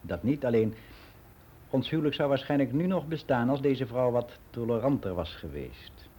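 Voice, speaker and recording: Older man, mellow, candidly reminiscing. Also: mid-range voice, expressive, normal speed, husky. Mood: matter-of-fact, reminiscing. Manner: matter-of-fact, rambling, candid. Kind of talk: radio play monologue as spoken testimony.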